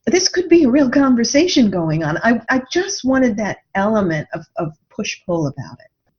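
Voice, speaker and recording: An older woman telling personal stories conversationally in an interview, in a reflective and expressive way, voicing her own thoughts as she goes. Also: a little high, normal speed. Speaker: podcast guest, writer and radio drama producer. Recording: dull band-limited lo-fi call audio.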